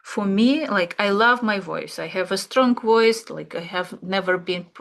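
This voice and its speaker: A woman, an English speaker, teaching an art therapy class, speaking slowly and reflectively with fillers and pauses. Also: medium pitch, gravelly, a bit bright. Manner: slow, searching, with fillers and pauses. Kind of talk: relaxed reflective class talk.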